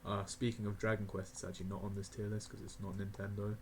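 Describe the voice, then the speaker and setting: An eighteen-year-old man rambling casually through a game tier list, laughing often and filling pauses with ums and uhs. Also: on the low side, level tone, slight background noise. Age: young adult (18). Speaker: gaming video creator.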